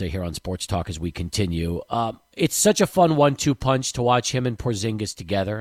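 Sports radio host, dry studio sound. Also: male voice, fairly low pitch, no room sound. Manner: conversational, stumbling with fillers. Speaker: sports talk show host. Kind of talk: radio interview.

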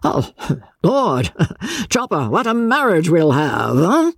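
A man's voice: fairly high pitch, big pitch swings, measured pace, gravelly, breathy edge, no room sound, studio quality, pitched above the performer's own voice, full character voice. A man voicing a coarse, blustering rich old merchant father who swings between bluff good humour and bullying temper.

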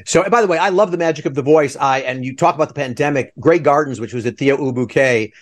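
A man's voice: medium pitch, fast. A man hosting a conversational interview, chatty and digressive, with asides to listeners. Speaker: podcast host interviewing theater people.

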